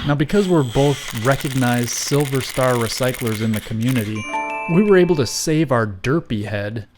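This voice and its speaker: A man's voice: medium pitch, moderate pace, music in the background. A man giving scripted how-to narration in a wry, mock-grand way. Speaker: host and craftsman.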